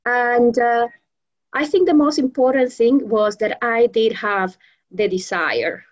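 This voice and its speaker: Female guest from Venezuela, Spanish first language, slightly high pitch, halting delivery, dull lo-fi recording. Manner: hesitant, many fillers and restarts. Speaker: guest, professional trainer and mentor. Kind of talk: interview conversation.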